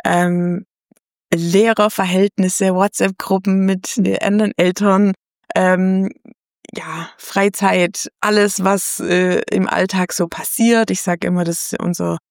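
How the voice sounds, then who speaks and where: A woman's voice: mid-range voice, steady tone, slow, no echo, studio quality. A woman in her thirties hosting a casual podcast chat, talking loosely with many fillers and small laughs. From Germany. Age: 30s. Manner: chatty, many fillers, laughs between phrases. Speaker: podcast host.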